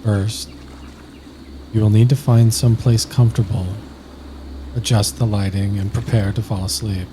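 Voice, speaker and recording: Male sleep-meditation narrator. Flat, unhurried, soothing read with long pauses, over clearly audible ambient noise. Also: fairly low pitch. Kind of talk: scripted guided sleep meditation.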